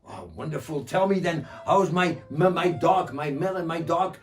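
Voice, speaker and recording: A man doing a greedy, excitable desert man. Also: a little high, unhurried, far from the mic, lightly acted. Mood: eager. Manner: pleading, repetitive, excitable.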